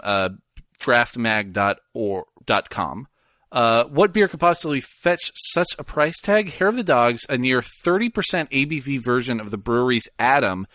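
A man talking casually on a podcast. His pitch rises and falls a lot, and he rambles through a news story with plenty of "uh"s. The sound is dry and close, with no room echo. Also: a bit bright. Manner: rambling, hesitant, reads news aloud. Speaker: podcast co-host. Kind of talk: casual chat.

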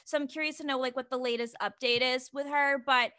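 A woman's voice: sits high, fairly even intonation, fairly quick, pushed and bright. A woman hosting a podcast in casual chat, chatty and giggly, with lots of "like" fillers. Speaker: podcast host.

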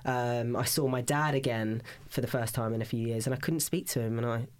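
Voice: medium pitch, fairly quick. A guest on a podcast, born in Italy with Italian as a first language, speaking thoughtfully and hesitantly with many fillers and restarts. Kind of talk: casual interview chat.

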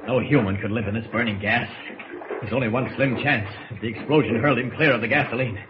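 A man's voice: fast, lightly acted. An actor playing a heroic, protective man in a 1940s radio serial, with a dramatic delivery. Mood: anguished. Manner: dramatic, shifts between deep hero voice and lighter everyday voice. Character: heroic adult man in two guises, caring, protective. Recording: muffled, noisy old radio recording.

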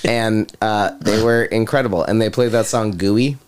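A man's voice: fairly low pitch, steady tone, moderate pace. An American man from California in casual podcast chat, telling stories in a jokey, irreverent manner. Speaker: podcast host. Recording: music playing behind the talk. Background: music.

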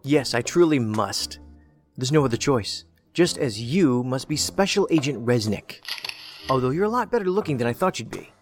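A man's voice: mid-range voice, lively intonation, moderate pace, lightly acted. Man acting a sardonic federal agent, audio drama, wry and philosophical, wordy, hall reverb, music behind. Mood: wry, teasing. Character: sardonic, erudite male federal investigator, cocky and philosophical.

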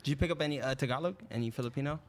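A man co-hosting a casual podcast who talks in an excitable way and swears casually. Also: mid-range voice, rapid-fire, a little hiss or hum.

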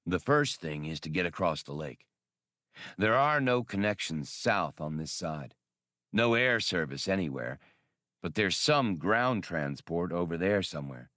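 A man's voice: low voice, animated, a little slow, a bit bright, dry recording, clean studio sound. A man voicing a genial, practical old adventurer in an audiobook, easygoing and conciliatory.

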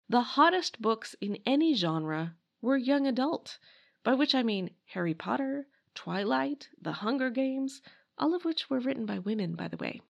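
A woman's voice: medium pitch, creaky. A middle-aged American woman hosting a podcast, reading scripted history narration. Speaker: podcast host.

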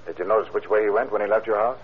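An actor playing a steady, inquisitive railroad detective in an old-time radio crime drama. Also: male voice, on the low side, breathy edge. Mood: businesslike. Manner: brisk, inquisitive, matter-of-fact. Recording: tinny, noisy old radio recording.

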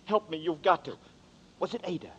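A desperate man on the run in a 1940s radio drama, intense and pleading. His fairly high voice swings widely. The broadcast sound is dull and lo-fi. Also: sing-song, a little fast, fairly bright tone, husky, heavily acted. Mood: urgent. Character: desperate hunted young man, Danny Nearing. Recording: dull old radio recording.

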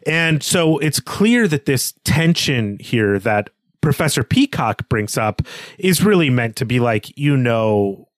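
A man's voice: medium pitch, big pitch swings, slow pace, dry recording, clean studio sound. A man co-hosting a podcast, talking through literature in an analytical, wordy way. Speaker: podcast co-host. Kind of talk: literary discussion.

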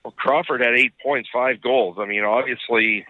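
A man's voice: mid-range voice, fairly even intonation, moderate pace, dry recording. A man co-hosting a sports podcast with casual game commentary, on dull lo-fi audio.

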